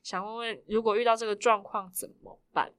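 A woman's voice: mid-range voice, steady tone, fairly quick, a bit bright, clean tone. A woman asking questions as the host of a casual podcast interview.

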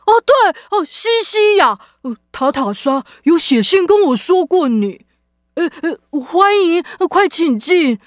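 Crybaby witch aunt for children's radio play: high, unhurried, huffy, stammering, easily flustered. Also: very animated, no echo, clean studio sound, exaggerated voice. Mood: flustered, hesitant. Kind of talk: children's audio drama acting.

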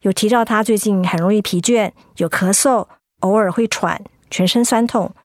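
A woman's voice: mid-range voice, normal speed, no echo, close to an everyday voice. Composed hospital doctor, woman acting, mid-range, clinical yet reassuring, dry studio radio drama. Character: calm, professional hospital doctor.

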